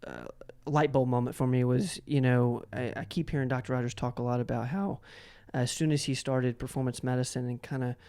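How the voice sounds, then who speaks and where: A man's voice: medium pitch, fairly even intonation, a little fast, few pauses, mellow, clear, resonant tone. A man hosting a casual interview, asking long, rambling questions and often doubling back on his words. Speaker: interview host.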